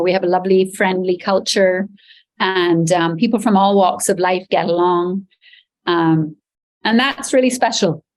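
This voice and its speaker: A woman in her forties, a real estate agent, answering interview questions in a chatty way with frequent ums, on a video call from a beachfront apartment. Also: mid-range voice. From Barbados.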